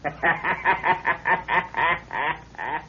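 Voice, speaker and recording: An actor playing a gloating mad villain in a 1930s radio drama, mocking, theatrical and menacing. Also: male voice, fairly high pitch, extremely slow, pushed and bright, heavily acted. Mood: smug, threatening. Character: gloating mad villain, male inventor and explosives genius. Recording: muffled, noisy 1930s radio recording.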